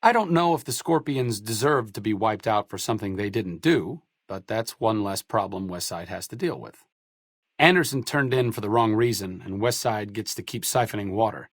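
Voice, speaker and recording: A man's voice: lowish voice, lively intonation, moderate pace, dry recording, studio quality. An actor playing a wry, bookish, idealistic male doctor in a post-apocalyptic video game, articulate and dryly witty. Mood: thoughtful. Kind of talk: video game companion dialogue.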